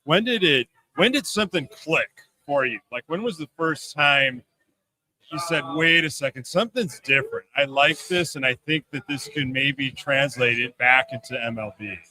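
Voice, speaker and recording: A man's voice: fairly high pitch, lively intonation, normal speed, sharp tone, low-quality recording. A man giving casual sports commentary as a podcast panelist, thinking aloud in short phrases.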